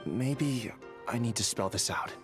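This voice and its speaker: An actor voicing an exasperated, earnest man in a video game, with emphatic, dramatic delivery. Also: mid-range voice. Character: exasperated, earnest male colleague, openly angry yet caring. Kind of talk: English video game voice acting. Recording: game audio with music behind.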